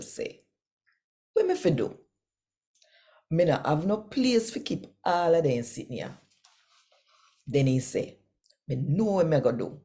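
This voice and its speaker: A woman with a Jamaican accent who hosts and reads scripture expressively, addressing listeners directly and deliberately. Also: on the low side, mellow. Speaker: channel host and scripture reader. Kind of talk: expressive scripture reading and channel presenting.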